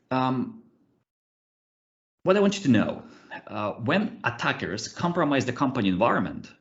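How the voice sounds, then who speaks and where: Russian-accented man, low voice, lively swings in pitch, hesitant with many fillers, dull muffled call audio. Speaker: conference speaker, ethical hacker. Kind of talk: webinar presentation with live demos. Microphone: computer mic on a video call.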